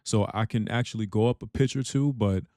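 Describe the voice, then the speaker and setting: A man with a deep voice hosting a reaction channel, chatting casually and filling his talk with "you know what I'm saying.". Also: brisk, mellow, no echo, studio quality.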